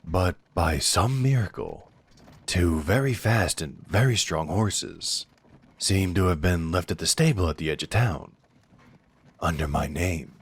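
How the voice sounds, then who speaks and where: Deep, husky werewolf right-hand man, acted by a man. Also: lively intonation, unhurried, exaggerated voice. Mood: reassuring, sly. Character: loyal, blunt, defiant werewolf right-hand man to a duke. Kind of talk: fantasy audio drama acting.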